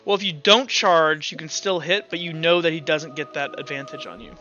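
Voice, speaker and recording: A man's voice: fairly high pitch, a little fast, pushed and bright. A man working as game master, narrating a tabletop roleplaying game casually, thinking aloud and swearing freely. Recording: dull, lo-fi sound with background music.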